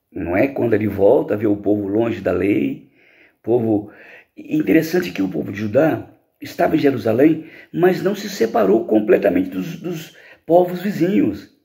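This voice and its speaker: A middle-aged Brazilian man speaks as a pastor teaching young people. His voice is relaxed and warm with a gravelly edge, yet the pitch moves in a lively way. He explains things informally and emphatically, posing questions and answering them. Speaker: pastor teaching a lesson to teens. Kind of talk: informal Bible lesson.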